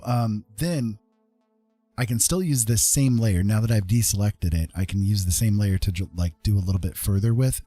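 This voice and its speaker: Low, mellow, husky man giving a tutorial. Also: no room sound. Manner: easygoing, informal, tags points with check-in questions. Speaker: digital art streamer and instructor. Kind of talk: casual live tutorial talk.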